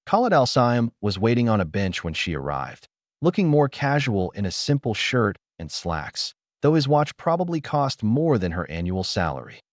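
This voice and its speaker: A man with a low voice narrates a story in a measured, heartfelt way. His delivery rises and falls with lively expression, and the recording is close and dry, with no room sound. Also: no echo. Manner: measured, emotionally present reading.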